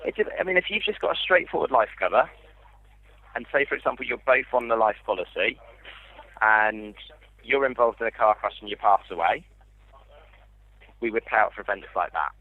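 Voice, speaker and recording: Male customer service agent, mid-pitched, patient and explanatory, heard through a narrow phone line. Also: animated, fairly quick, pauses often. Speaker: life insurance call handler.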